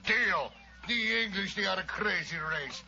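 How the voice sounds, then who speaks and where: An actor playing an excitable, indignant Italian kitchen worker with broken English in an old radio drama. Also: male voice, high-pitched, a little slow, creaky, music in the background, exaggerated voice. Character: excitable Italian hotel kitchen worker, protective cat owner. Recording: dull, noisy old radio recording.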